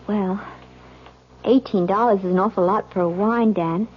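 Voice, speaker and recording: A woman's voice: medium pitch, fairly even intonation, normal speed, lightly acted. A woman playing an uneasy, hesitant newlywed bride in a 1970s radio drama. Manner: polite, hesitant. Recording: noisy, muffled old radio recording.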